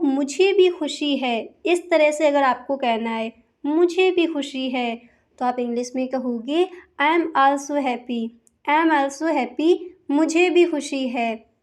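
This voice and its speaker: An Indian woman teaching spoken English, slowly repeating each example sentence. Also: high-pitched. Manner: slow, deliberate repetition of example sentences. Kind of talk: slow spoken-English lesson.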